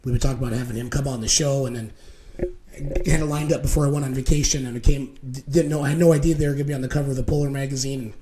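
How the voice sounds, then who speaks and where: A man with a slightly hoarse voice, chatting informally as host of a casual live show. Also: medium pitch, steady tone, racing, a loud crowd.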